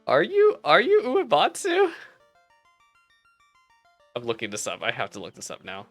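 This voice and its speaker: Excitable streamer, mid-pitched voice, rambling live playthrough commentary over game music. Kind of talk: reading game dialogue aloud with excited live reactions. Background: video game music.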